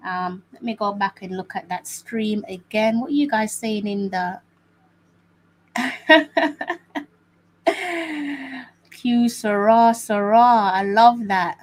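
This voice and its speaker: A woman's voice: fairly high pitch, drawn-out words, long pauses. Chatty woman hosting a live watch-along. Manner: chatty, repeats phrases, many fillers. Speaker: host.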